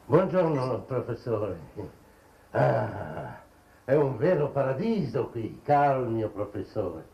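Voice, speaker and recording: An actor playing an obsequious, nosy gentleman in a 1950s Italian television period drama, speaking with ceremonious, insinuating courtesy. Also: medium pitch, very animated, takes it slowly, gravelly, full character voice. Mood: effusive. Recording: dull, roomy 1950s TV soundtrack.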